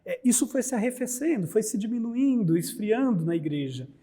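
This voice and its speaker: Husky high-voiced priest in reverberant hall. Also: male voice, very animated, fairly quick, dark tone. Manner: earnest, lecturing, rhetorical questions. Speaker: priest, liturgy scholar. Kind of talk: explanatory religious talk.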